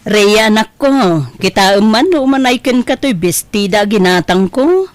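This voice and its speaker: Woman as happy doting mama, ilocano audio drama, super lively up-and-down voice. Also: mid-range voice, normal speed, faint music behind, close to an everyday voice. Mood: delighted, doting. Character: doting, cheerful mother indulging her daughter.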